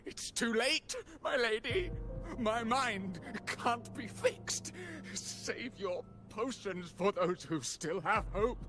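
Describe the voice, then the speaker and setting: A man's voice: high voice, takes it slowly. A man acting an anguished, doomed video game character fighting corruption, dramatic and pleading, desperate and fervent. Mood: pleading despair. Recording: music behind the voice.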